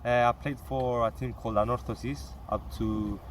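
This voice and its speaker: A young man from Cyprus answering interview questions in a casual, halting way, with restarts and fillers. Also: medium pitch, fairly even intonation, recorded from a distance. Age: young adult.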